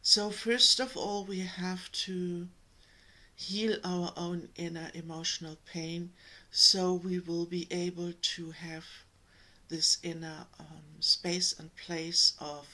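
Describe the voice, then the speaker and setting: A woman from Germany with a German accent, giving a slow, gentle spiritual talk to her viewers, pausing often. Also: on the low side, rough recording. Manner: slow, gentle, with many pauses and ums. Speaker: spiritual coach.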